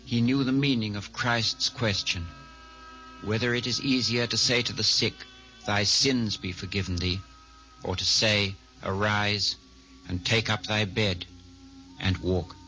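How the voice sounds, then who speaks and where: Low-voiced solemn man narrating over music. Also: fairly even intonation, a little slow, sharp tone, poor audio. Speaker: story narrator. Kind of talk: scripted radio drama narration.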